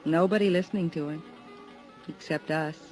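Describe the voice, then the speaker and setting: A woman's voice: low-pitched, steady tone, moderate pace, a little mellow, soft background music, lightly acted, rough recording. An actress playing a languid, confiding young woman at a bar in a 1950s radio drama. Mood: moody.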